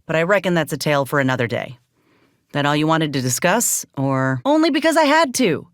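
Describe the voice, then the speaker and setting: A woman's voice: sits low, very animated, a little fast, no echo. A battle-worn frontier woman soldier in a video game, loyal and guarded. She talks in a folksy, plainspoken way with a dry, wry edge. The sound is dry, with no room on it. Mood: earnest, firm. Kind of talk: video game character dialogue.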